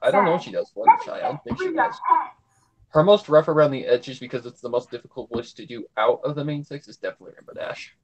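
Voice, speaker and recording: Man, average pitch, casual livestream guest, rambling, emphatic, repetitive phrasing. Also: very animated, fast, noisy background. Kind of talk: casual chat.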